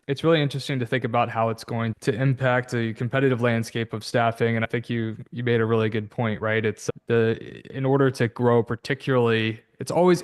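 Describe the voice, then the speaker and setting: A man hosting a podcast interview, agreeable and often checking his points with tag questions. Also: medium pitch. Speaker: podcast host. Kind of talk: conversational interview.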